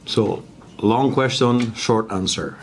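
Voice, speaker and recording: A man's voice: fairly low pitch, slow, a little hiss or hum. A man, a basketball coach answering press questions bluntly in broken English.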